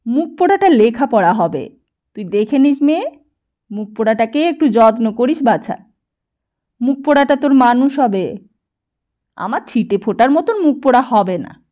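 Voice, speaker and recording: A woman's voice: fairly high pitch, animated, moderate pace, long pauses, highs cut off, lightly acted. A woman voicing a cranky, cursing old grandfather in an audiobook, scolding in repeated exclamations. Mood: gruffly scolding. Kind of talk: audiobook character voice.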